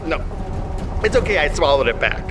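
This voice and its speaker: A man's voice: a little high, very animated, fairly quick, music in the background. A man playing a chipper, hapless bus tour guide in an audio drama comedy, announcing with sing-song showmanship. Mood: explaining, hapless. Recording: clear vehicle and outdoor noise.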